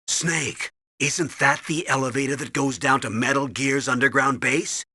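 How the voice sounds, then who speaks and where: An actor playing a stern older colonel in a video game, briefing and giving orders with a husky, commanding voice. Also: male voice, mid-range voice, steady tone, unhurried, bright, forceful tone, no room sound, studio quality, heavily acted. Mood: urgent, commanding. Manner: commanding, urgent, instructive.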